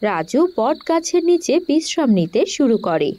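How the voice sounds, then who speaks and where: A woman's voice: high-pitched, very animated, moderate pace, mellow. A woman reading scripted narration as the narrator of a children's story. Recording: music behind the voice.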